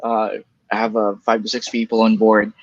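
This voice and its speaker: A man with a Filipino accent talks about his work in a casual, halting way, restarting his sentences often. His first language is Bicol. The recording sounds dull and lo-fi, dry and close with no room echo. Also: on the low side, monotone. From Philippines. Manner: halting, many restarts and fillers, laughs lightly. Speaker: guest, email copywriter. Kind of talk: casual interview answers.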